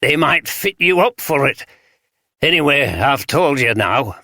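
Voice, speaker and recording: A man voicing a wary, scruffy homeless man with a broad Yorkshire accent, gruff and guarded. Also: mid-range voice, animated, unhurried, pushed and bright, no echo, clean studio sound, pitched above the performer's own voice. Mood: recounting. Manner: gruff, guarded, blunt dialect speech.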